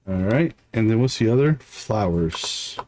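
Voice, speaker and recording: A man's voice: fairly low pitch, expressive, unhurried, darkish tone. A man livestreaming a video game, casually thinking aloud as he plays. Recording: clear background noise.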